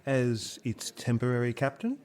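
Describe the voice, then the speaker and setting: An actor playing a smug, ambitious villain in a period audio drama, with an oily, insinuating manner. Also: male voice, medium pitch, very animated, moderate pace, a little mellow, lightly acted. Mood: smug, scheming. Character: sly, ambitious male sailor schemer, smug villain.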